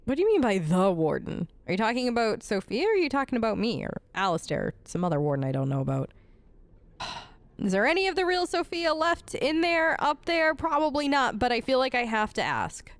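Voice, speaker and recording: A woman gamer commenting on a role-play playthrough. Her voice is clear and smooth, fairly high, and recorded close with very little room sound. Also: very animated, fairly quick, no echo. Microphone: close microphone.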